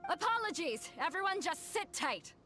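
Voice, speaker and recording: Commanding game sea captain, high animated voice, theatrical announcer delivery, music behind. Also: female voice, normal speed, exaggerated voice. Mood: impatient. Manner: rousing, theatrical announcer delivery with frank asides. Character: bold, commanding woman sea captain hosting a tournament. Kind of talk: video game voice acting.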